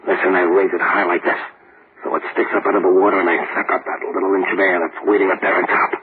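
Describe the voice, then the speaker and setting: An actor playing a streetwise death-row convict who talks in tough slang, in old radio drama style. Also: male voice, low-pitched, level tone, fast talker, close to an everyday voice. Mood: scheming. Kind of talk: 1940s radio acting. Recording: tinny hissy old radio broadcast.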